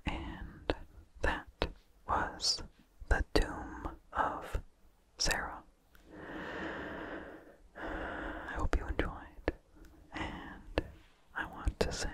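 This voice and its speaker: ASMR reader: unvoiced breathy whisper, very slow and deliberate, hushed close-mic delivery. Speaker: ASMR host reading a vintage horror story. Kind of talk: whispered ASMR story reading. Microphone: close microphone with incidental mouth sounds.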